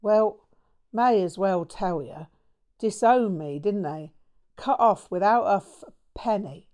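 A woman's voice: mid-range voice, slow, darkish tone, close to an everyday voice. A woman voicing a brash, foul-mouthed English man who is bitter, manic and full of slang in an audiobook. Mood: ranting. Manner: swearing, slangy, bursts of manic cackling. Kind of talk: audiobook character voice.

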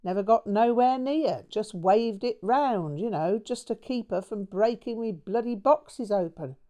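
A woman's voice: medium pitch, expressive, a little slow, dark tone, pitched above the performer's own voice, lightly acted. A woman voicing a brash, foul-mouthed English man who is bitter, manic and full of slang in an audiobook. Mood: ranting. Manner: swearing, slangy, bursts of manic cackling. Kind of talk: audiobook character voice.

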